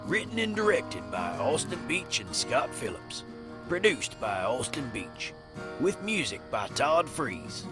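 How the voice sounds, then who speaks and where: A man narrating a Western tale in a folksy, dramatic storyteller manner over guitar music. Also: fairly high pitch.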